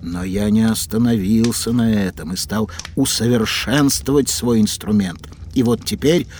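An actor playing a proud, absorbed Italian scientist of the seventeenth century in an educational audio drama, speaking deliberately and theatrically with pauses. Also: male voice, lowish voice, a little mellow, a little hiss or hum, close to an everyday voice. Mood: proud explaining.

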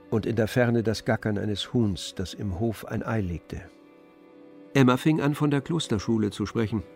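A man narrating a literary radio play in a measured, composed manner. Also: fairly low pitch, darkish tone. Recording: dry studio with faint music.

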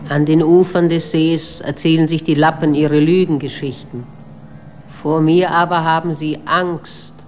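Brooding lake-valley spirit. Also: female voice, fairly even intonation, darkish tone. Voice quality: low and menacing. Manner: slow, brooding, threatening. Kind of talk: radio drama.

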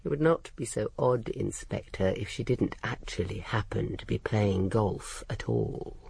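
A cool, scornful housekeeper in a radio play. Her educated English voice is mellow and husky, with a creaky edge and lively swoops. She is candid and dryly cutting. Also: a bass voice, a little slow, heavily acted. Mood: dry, knowing. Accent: English, educated upper-middle-class. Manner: poised, dry, candid, cutting. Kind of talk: radio drama dialogue.

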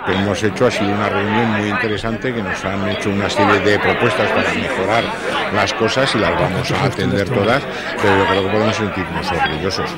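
Male official-style press statement, fairly low voice in a loud outdoor crowd. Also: steady tone, fairly quick.

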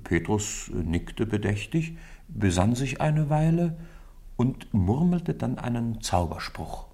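A man's voice: mid-range voice, big pitch swings, slow pace, warm tone, heavily acted. A man narrating a children's radio play in scripted storybook style.

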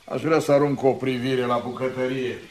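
An actor playing a loyal, plain-spoken helper in a radio drama, hearty and sincere. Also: male voice, mid-range voice, little pitch movement, a little mellow, slight background noise, close to an everyday voice. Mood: sincere, eager. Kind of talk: Romanian radio drama acting.

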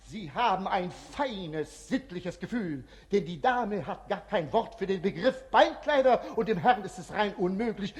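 An actor playing a mischievous, mocking jester who announces with mock-grand showman's patter in a radio play. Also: high voice. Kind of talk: 1950s German radio play acting. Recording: reverberant old radio studio hall.

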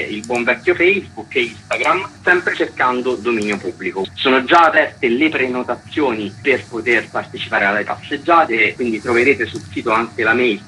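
Male radio guest, bright timbre, mid-range pitch, presenting an arts event. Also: a little hiss or hum, poor audio. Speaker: festival co-artistic director, interview guest. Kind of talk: radio interview, explaining an event programme.

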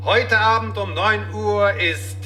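An actor playing a slick, cheery television presenter of the future in a German radio play, chattily pitching products to viewers. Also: male voice, takes it slowly. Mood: gushing promotion. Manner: gushing, chatty showman addressing viewers. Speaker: television show host. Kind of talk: 1960s-90s German radio play, mock broadcast patter. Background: music.

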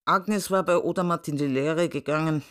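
A man's voice: a little high, moderate pace, fairly bright tone, a little hiss or hum, natural voice. An actor in a radio drama playing a plain-spoken village man who narrates a local story in short statements. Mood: plain, narrating.

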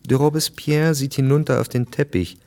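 A man's voice: mid-range voice, steady tone, normal speed, a little mellow. A man narrating a radio drama from a script in a sober, matter-of-fact way.

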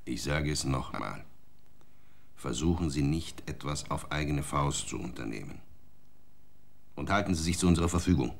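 An actor playing a stern police inspector in a radio drama, talking in curt, commanding questions and warnings. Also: male voice, a bass voice, lively intonation, normal speed, gravelly, long pauses, exaggerated voice. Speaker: police interrogator.

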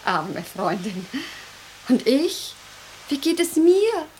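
A woman's voice: high-pitched, very animated, slow pace, a little mellow, a little hiss or hum, full character voice. An actress playing an earnest, practical woman in a radio play, speaking with dramatic seriousness. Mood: plaintive. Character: earnest, practical woman friend living in a tower. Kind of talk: 1990s German radio play acting.

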